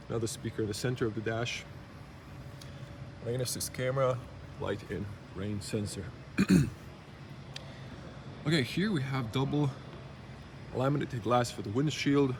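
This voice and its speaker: A man with a slightly rough early-morning voice, giving a casual, unscripted walkaround review in halting, item-by-item phrases. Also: medium pitch, a little hiss or hum. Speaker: car reviewer. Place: car show exhibition space.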